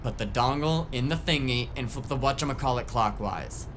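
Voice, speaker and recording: A man's voice: mid-range voice, normal speed, noisy background, lightly acted. A man playing a grumbling, sarcastic tech whiz in a cyberpunk audio drama, talking in a casual, rambling way. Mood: distracted, flippant. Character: grumbling, foul-mouthed, sarcastic male tech whiz driver.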